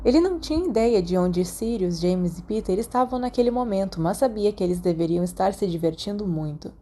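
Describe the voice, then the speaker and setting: Female Brazilian narrator for fiction audiobook, soft dark tone, mid-range pitch. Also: expressive, a little fast. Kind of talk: scripted fan fiction narration with acted dialogue.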